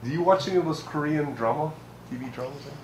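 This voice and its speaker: A man's voice: on the high side, quick pace. A man interviewing an elder for an oral history, probing with frequent restarts and backchannels. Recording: echoey room with faint hiss.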